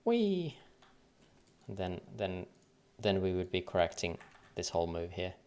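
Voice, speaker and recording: Man, light finnish accent, deep voice, gravelly fry, livestream chart talk, counts waves under his breath. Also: sing-song, measured pace, darkish tone. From Finland. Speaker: livestream trading analyst. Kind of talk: casual livestream chart commentary.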